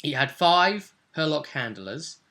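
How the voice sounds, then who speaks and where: A man's voice: on the high side, sing-song, takes it slowly, sharp tone. A man hosting as game master, casually recapping a tabletop role-play session and repeating phrases for dramatic emphasis. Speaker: game master and vidcast host.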